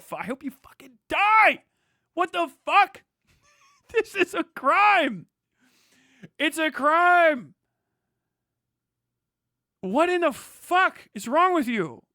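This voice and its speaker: A man with a high, bright, forceful voice gives live reaction commentary. He is blunt and profane, speaking as a streamer in a dry, close sound. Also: dry recording. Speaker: streamer reacting to a news video. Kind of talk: live reaction commentary with read-out passages and rants.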